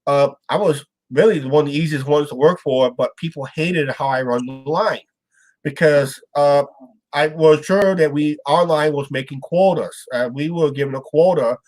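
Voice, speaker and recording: A man's voice: fairly high pitch, normal speed, a little mellow, rough recording. A man from Iowa hosting a casual live-stream chat, with a stuffed-up nose. He rambles and halts, trailing off with "and that". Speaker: show host.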